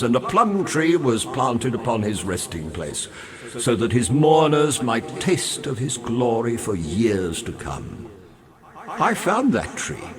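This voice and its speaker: An actor playing a grandiose, roguish undead sea captain in a video game, florid and pompous, wry and morbidly cheerful as he savors his words. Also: medium pitch. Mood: storytelling, grim relish. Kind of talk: theatrical video game character dialogue.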